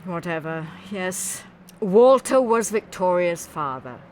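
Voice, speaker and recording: A woman's voice: fairly low pitch, expressive, slow pace, darkish tone, a little hiss or hum. An actress playing a proud, evasive older woman in a radio drama, haughty and genteel in her phrasing. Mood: bitter, resigned.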